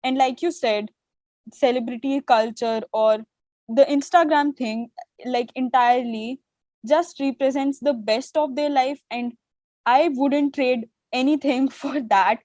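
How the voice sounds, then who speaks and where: A woman's voice: high-pitched, a little slow, no echo. A young adult woman whose first language is Hindi, hosting an interview on a video call, affirming and thoughtful with hesitant phrasing. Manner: warm affirming, hesitant phrasing with many fillers. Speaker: host.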